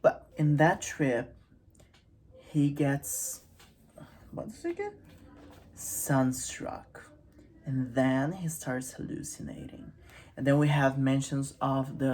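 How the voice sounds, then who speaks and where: A woman's voice: a bass voice, darkish tone. A woman hosting a casual, humorous literary commentary, with wry, irreverent asides in a conversational way. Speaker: host of a literary channel.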